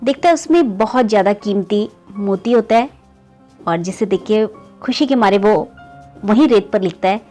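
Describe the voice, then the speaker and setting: A woman tells a moral story in an easy, chatty way, speaking straight to her listeners as friends. Her voice sits at a middling height and lifts at each new scene. Soft music plays faintly underneath. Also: animated, moderate pace. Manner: keeps calling listeners "friends", pitch rising on story beats. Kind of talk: conversational moral story narration.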